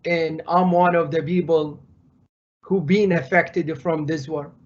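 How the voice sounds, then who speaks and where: Higher-voiced Iraqi man speaking hesitantly. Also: steady tone, unhurried, lo-fi sound. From Baghdad, Iraq. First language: Arabic. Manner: hesitant, repeats words while searching for phrasing. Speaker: guest speaker, doctoral student. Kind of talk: informal unscripted talk to an audience.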